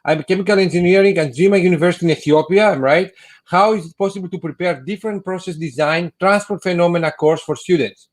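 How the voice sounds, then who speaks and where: Guy moderating a webinar, slightly high voice, keeps pausing for words. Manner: halting, searching for words. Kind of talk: webinar discussion.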